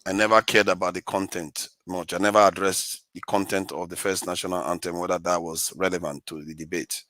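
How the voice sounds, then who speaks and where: Bright-voiced man in debate. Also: low voice, little pitch movement, no room sound. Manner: deliberate point-by-point delivery, insistent. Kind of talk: argumentative debate rebuttal.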